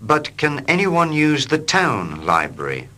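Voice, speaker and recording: A man reading short English dialogues slowly and clearly for learners, line by line. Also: fairly high pitch. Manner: slow, clear and expressive. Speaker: English teacher. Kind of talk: slow scripted reading for learners.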